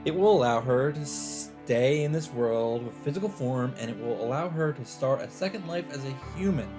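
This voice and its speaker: A man with a mid-pitched voice narrates a visual novel aloud for a let's play. His delivery is dramatic and expressive, with deliberate phrasing and weighted pauses. Music plays softly behind him. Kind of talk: dramatic narration read aloud from on-screen text. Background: background music.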